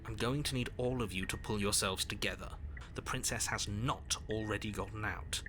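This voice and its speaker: An actor playing a stern narrator in a visual novel, measured and condescending, evasive and insistent. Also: male voice, fairly low pitch, background noise, lightly acted. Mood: exasperated. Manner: measured, condescending, exasperated delivery. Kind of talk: scripted game narration. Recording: music behind the voice.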